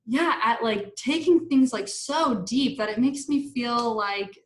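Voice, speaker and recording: A woman's voice: on the high side, unhurried, roomy sound. A young woman from Argentina talks casually in an interview, with lots of likes, restarts and laughs. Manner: chatty, many likes and restarts, laughs often. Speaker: staff writer and interview guest.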